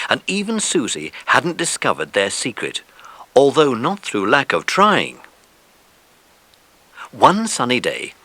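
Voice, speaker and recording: A man reading scripted narration for a children's audiobook in an expressive storytelling manner. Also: medium pitch, dry recording.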